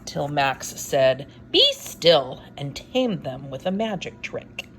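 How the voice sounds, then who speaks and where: A woman reading a picture book aloud in an expressive, unhurried way, with wry, casual asides. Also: deep voice, poor audio.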